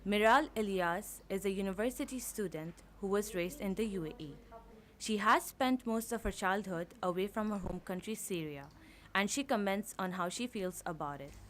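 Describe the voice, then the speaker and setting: A young woman reading a student news report as narrator, in an even, measured way. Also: mid-range voice. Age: young adult.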